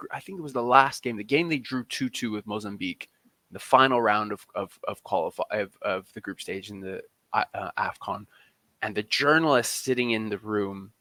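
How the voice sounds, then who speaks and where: A man with a fairly low but very bright, forceful voice talks as a guest on a podcast. He speaks conversationally and emphatically, often doubling back to restart a phrase. The sound is dry and close. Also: no echo. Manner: emphatic, repeats and restarts phrases mid-thought. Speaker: interview guest, football journalist.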